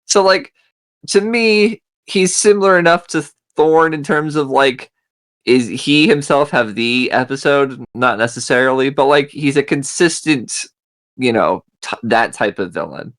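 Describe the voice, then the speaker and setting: A man's voice: mid-range voice, unhurried, a bit bright. A man talks as one of a podcast panel in a mid-range voice. He makes his case casually, explaining with examples. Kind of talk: casual panel discussion, fan chat.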